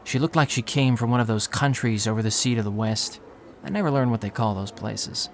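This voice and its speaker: A man's voice: medium pitch, fairly quick, slight background noise, lightly acted. A man voicing a melancholy self-doubting young narrator. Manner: quiet, melancholy, self-critical. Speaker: narrator and lead character. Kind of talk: first-person audio drama narration.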